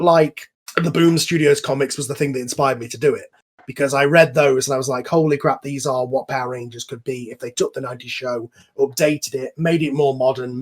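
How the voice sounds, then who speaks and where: A man hosting a casual online group chat, explaining things at length in an enthusiastic, informal, rambling way. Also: medium pitch. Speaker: host and series creator. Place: online voice call.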